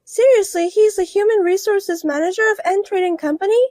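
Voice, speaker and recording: A woman's voice: very high voice, a little fast, mellow, no echo, clean studio sound, voice pushed up. A woman voicing a pushy, scheming young woman who whines and pleads. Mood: shocked. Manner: whiny and pleading. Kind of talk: storybook voice acting.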